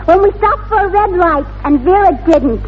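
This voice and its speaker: A woman's voice: extremely high pitch, lively intonation, normal speed, over a noisy crowd, very noisy. An actress playing a dizzy, man-chasing single woman who pretends to be a proper lady in a 1940s radio comedy. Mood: matter-of-fact. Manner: mock-ladylike, naive, matter-of-fact. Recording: thin, muffled old radio recording.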